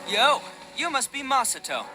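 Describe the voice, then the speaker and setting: A man's voice: extremely high pitch, sing-song, normal speed. A man voicing a brash, good-hearted young oni gang boss in a video game, slangy and swaggering, with game music underneath. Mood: casual, focused. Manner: slangy, swaggering, big emotive swings. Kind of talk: English video game voice acting.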